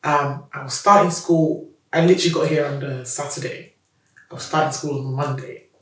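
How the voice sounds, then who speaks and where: Animated Nigerian woman, roomy and distant. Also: low voice, moderate pace, slight background noise. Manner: animated, laughs often, restarts sentences. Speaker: business founder, guest. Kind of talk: candid storytelling interview.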